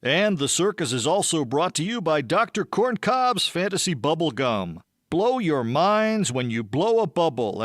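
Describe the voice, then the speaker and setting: A man's voice: a little high, a bit bright, no room sound, clean studio sound. A man playing an earnest radio announcer with formal broadcast delivery in an audiobook. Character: earnest radio announcer with bulletins and bubble gum adverts.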